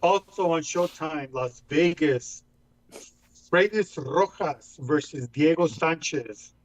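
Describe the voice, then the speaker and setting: A man's voice: a little high, low-quality recording. A man of Mexican background co-hosting a casual fight podcast, reading out event listings and laughing easily. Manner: laughs often, reads fight card quickly. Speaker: podcast co-host.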